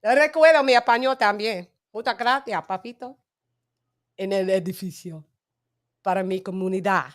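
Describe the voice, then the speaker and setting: A woman's voice: mid-range voice, normal speed. A woman with an English accent hosting a casual live chat, laughing often and switching between English and Spanish. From England. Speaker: livestream host.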